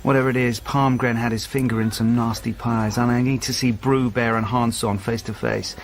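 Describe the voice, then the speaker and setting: An actor playing a measured, probing chief inspector in a radio drama, businesslike and questioning. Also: male voice, medium pitch, steady tone, close to an everyday voice.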